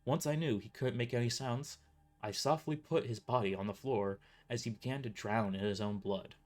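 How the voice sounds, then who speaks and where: A man's voice: mid-range voice, normal speed, a little mellow. A man reading a first-person story as a dramatic narrator, occasionally stumbling over his words. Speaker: author narrating own novel.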